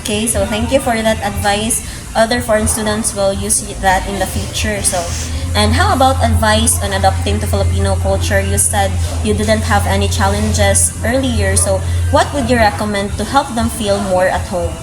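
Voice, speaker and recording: A woman's voice: medium pitch, fairly even intonation, moderate pace, music in the background, low-quality recording. A young woman interviewing a student, working through prepared questions and starting most with "okay, so". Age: young adult. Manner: methodical, frequent "okay, so" fillers.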